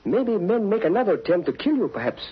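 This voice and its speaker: An actor playing a loyal, anxious valet in a 1940s radio drama, speaking clipped broken English. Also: male voice, high-pitched, sing-song, fast, breathy edge, heavily acted. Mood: worried, deferential. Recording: noisy, muffled 1940s radio recording.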